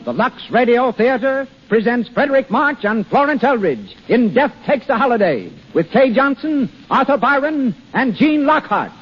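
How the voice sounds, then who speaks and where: Music plays behind a man announcing in formal 1930s radio style. His declamatory voice is very high and animated, on a muffled old broadcast. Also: normal speed, few pauses, breathy edge.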